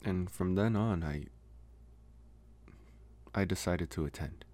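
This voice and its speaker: A man playing a lonely, gentle young college vampire in an intimate ASMR roleplay, speaking softly and haltingly with pauses. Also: low voice. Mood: reflective, hesitant. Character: lonely, soft-spoken young male college vampire, politely devoted. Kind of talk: ASMR roleplay, intimate one-sided dialogue.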